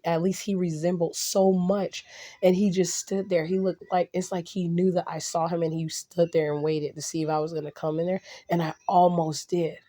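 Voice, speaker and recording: A woman vlogging casually to her viewers, a little hoarse and raspy from a cold, rambling with restarts and blunt asides. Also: deep voice, mellow. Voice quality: slightly raspy. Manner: blunt and outspoken.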